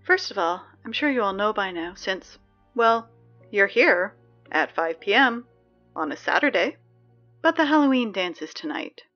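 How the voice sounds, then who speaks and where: A woman playing Sammy, an excitable teen girl reading the school announcements in an audio drama, chatty with sarcastic asides. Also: mid-range voice, dull audio, natural voice.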